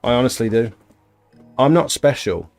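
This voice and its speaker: A man's voice: medium pitch, lively intonation, normal speed, vocal fry, soft background music. A British man in his thirties chatting informally and rambling as he hosts a casual live stream, reading out comments and answering them. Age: 30s. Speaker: livestream host, exterior cleaning tradesman. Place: small home bedroom doubling as an office.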